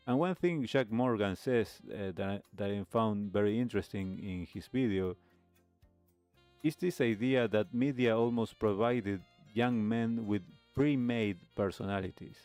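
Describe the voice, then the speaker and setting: A man hosting a talk show, giving commentary on a video in measured, hesitant phrasing. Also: fairly low pitch, a little mellow. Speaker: host of a nostalgia talk show.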